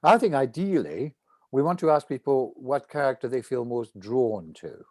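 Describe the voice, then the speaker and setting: An older man with a mid-range voice talks through ideas at a casual online rehearsal. He pauses and restarts as he thinks aloud. The sound is dry, with little room around it. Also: mid-range voice, animated, no echo. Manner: halting, thinking out loud. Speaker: actor. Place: indoor room at home, video call.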